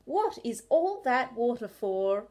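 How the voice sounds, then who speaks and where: An easygoing platypus from a children's storybook, acted by a woman. He is friendly and helpful. He speaks slowly in a fairly high voice. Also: very animated, a little mellow.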